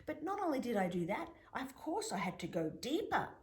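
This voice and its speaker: A middle-aged woman whose mother tongue is Greek, telling reflective stories in an interview with emphatic rises and soft whispered asides. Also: on the high side, very animated, brisk. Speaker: guest, spiritual healer.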